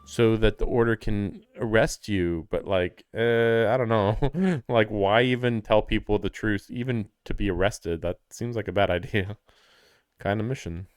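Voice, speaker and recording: A man's voice: lowish voice, a little slow, clean tone, no echo. A man doing casual livestream commentary on a game, with skeptical, offhand asides, close on the microphone.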